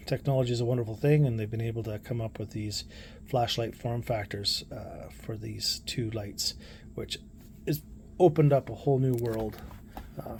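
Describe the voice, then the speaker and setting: A man's voice: mid-range voice, darkish tone, a little hiss or hum. A man giving a casual, unscripted product review in a plainspoken, hesitant way, thinking aloud as he goes.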